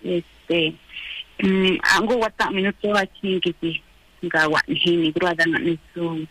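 A woman co-hosting a community radio show, a native Triqui speaker chatting in a relaxed, friendly way with many fillers. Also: fairly low pitch, takes it slowly, husky, no echo, poor audio. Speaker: radio co-host. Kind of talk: casual radio chat.

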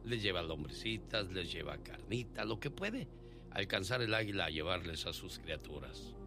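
Animated male radio host. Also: sits low, normal speed, over music. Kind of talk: radio call-in chat with storytelling.

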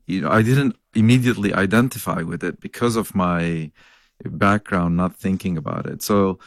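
A man's voice: deep voice, normal speed, dry recording, studio quality. A middle-aged man from Lebanon, a scientist and professor, answering interview questions in an earnest, storytelling way with occasional laughs.

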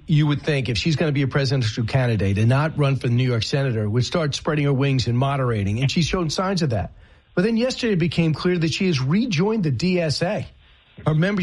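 A man's voice: a little high, darkish tone, breathy edge. A man hosting a talk radio show, asking his guests conversational questions.

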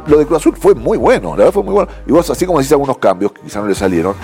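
A man's voice: mid-range voice, faint music behind. A man, arguing football as a pundit on a studio sports show. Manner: animated, quick, voseo.

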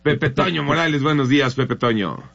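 A man co-hosting a radio show, making his points about football in a deliberate way and introducing the reporter. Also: medium pitch, creaky, fairly bright tone, slightly rough, dry recording, muffled recording. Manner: deliberate, lays out arguments. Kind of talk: casual sports chat.

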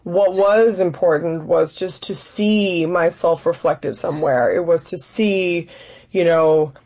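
Female guest from Denmark, actress and writer. Soft-edged dark tone, lower pitch, reflective, searching conversational delivery. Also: takes it slowly. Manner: thoughtful, searching for words.

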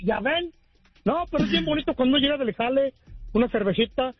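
A man's voice: extremely high pitch, expressive, a little fast, soft background music. A man calling in to a radio show, chatting in a folksy, easygoing way full of slang. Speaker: caller. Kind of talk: casual call-in chat. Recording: muffled lo-fi phone call.

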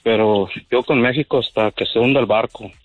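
A man's voice: mid-range voice, fairly quick, no room sound. Guy calling in, laid back, mid voice, phone line sound. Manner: relaxed, mixes Spanish and English. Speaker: caller. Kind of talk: phone-in call.

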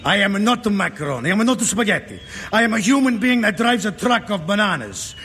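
An actor playing a proud, hot-tempered truck driver with a Sicilian Italian accent, who speaks broken English and swings from shouting to sobbing. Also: male voice, sits high, expressive, fast talker, slightly rough, long reverb tail, exaggerated voice. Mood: angry. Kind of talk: 1950s film drama acting.

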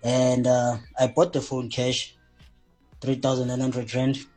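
A man's voice: mid-range voice, completely flat delivery, unhurried, a little mellow, faint music behind. A man calling a radio show, rambling and full of hesitations and slang. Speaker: caller.

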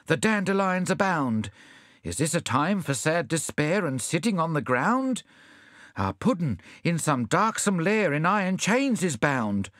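A man's voice: fairly high pitch, fairly bright tone, no echo, studio quality, voice pushed up, heavily acted. Bunyip Bluegum, a man doing a grand orator. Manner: grand, declaiming verse.

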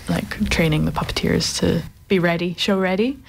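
A woman's voice: lowish voice, fairly even intonation, normal speed, creaky, husky. A young woman from Scotland with a faint Scottish accent, a puppet maker chatting casually as a guest in a radio studio interview. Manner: chatty, rambling, laughs easily.

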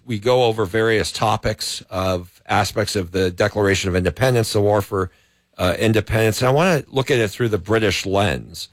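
A man's voice: lowish voice, no room sound. A man, a law professor, giving legal commentary on talk radio, arguing his points with examples. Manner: argumentative, explains with examples. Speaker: law professor, co-host guest.

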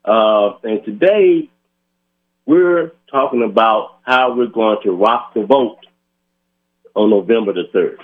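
Hoarse man hosting community radio. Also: fairly low pitch, takes it slowly, long pauses. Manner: earnest, urging listeners directly, many fillers. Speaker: radio talk show host. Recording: tinny phone-like radio audio.

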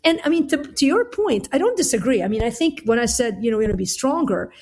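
A middle-aged woman from Lebanon speaks as an interview guest. Her voice is fairly high with a husky edge, and she explains things in a candid, conversational way. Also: big pitch swings, quick pace, darkish tone. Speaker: interview guest, author and Bible teacher.

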